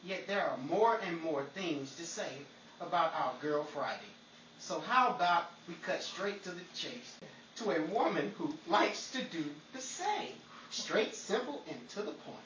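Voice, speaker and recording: Lively man announcer in echoey recording. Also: on the high side, measured pace. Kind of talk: scripted old-time radio introduction. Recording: echoey, distant, noisy recording.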